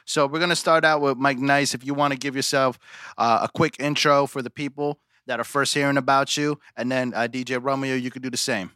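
An upbeat man co-hosts a casual podcast. His voice is bright and forward. He introduces the guests and throws in hype calls, and the recording is close and dry. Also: mid-range voice, steady tone, a little fast, clear, resonant tone, dry recording. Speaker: podcast co-host.

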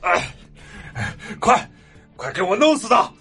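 A man playing a sleazy, vengeful boss in an audio drama, raspy and strained with pain. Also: high-pitched, wildly swooping pitch, measured pace, bright, forceful tone, faint music behind, full character voice. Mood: pained, furious.

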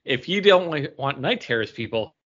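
A man's voice: medium pitch, animated, normal speed, a bit bright, highs cut off. A man co-hosting a podcast, chatting casually about comic books in a joking, self-deprecating way. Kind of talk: casual comic book review chat.

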